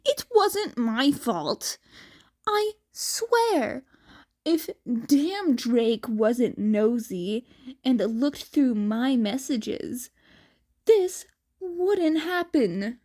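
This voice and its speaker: Proud, indignant little brat played by a woman reader, blunt and higher-voiced, for narrated fiction. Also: expressive, drawn-out words, a little mellow, full character voice. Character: bratty, defensive young boy, proud and blunt.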